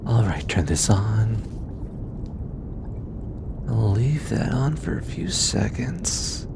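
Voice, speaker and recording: A man voicing a gentle, teasing alien scientist in an intimate audio roleplay, eager and given to chuckling. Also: lowish voice, big pitch swings, slow, gravelly, very noisy, exaggerated voice. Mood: focused, explaining. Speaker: voice actor.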